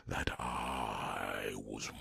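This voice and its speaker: Rumbling, whispery, gravelly dragon. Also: male voice, steady tone, sharp tone, slight background noise, exaggerated voice. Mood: solemn, proud. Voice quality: gravelly, breathy growl. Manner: slow, solemn, grandiose storytelling. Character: proud old dragon, male, a solemn fatherly mentor. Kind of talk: whispered ASMR fantasy roleplay.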